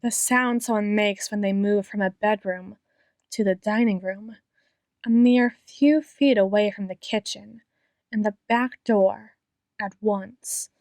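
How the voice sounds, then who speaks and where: A woman playing an obsessive, menacingly tender doctor in an ASMR roleplay, speaking in a deliberate, theatrical monologue with drawn-out words. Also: medium pitch, darkish tone, no echo, studio quality, lightly acted. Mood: quiet menace. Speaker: audio roleplay performer.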